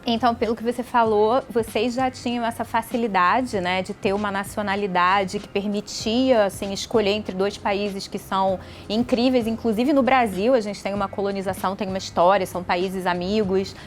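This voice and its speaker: A woman's voice: mid-range voice, fairly even intonation, normal speed, hardly any pauses, soft background music. A woman with a Brazilian accent hosting a podcast and interviewing a guest in a conversational way. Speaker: podcast host.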